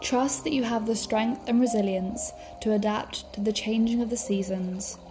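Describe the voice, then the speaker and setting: A woman leading a guided meditation as a podcast host, speaking in a calm, soothing, measured way. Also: fairly high pitch. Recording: music behind the voice. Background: background music.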